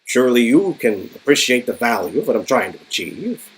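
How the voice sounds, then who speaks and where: A man's voice: mid-range voice, very animated, brisk, slightly rough. A man playing a grandiose, smug, absent-minded male inventor villain with a theatrical, stammering delivery in a dramatic reading of game dialogue. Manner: theatrical, stammering, self-important.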